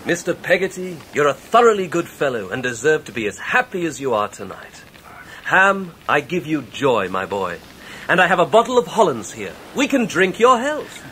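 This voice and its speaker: An actor playing a suave, genial young gentleman with an upper-class English accent in a radio drama. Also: on the high side, sing-song, moderate pace, slight background noise. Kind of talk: full-cast radio drama acting.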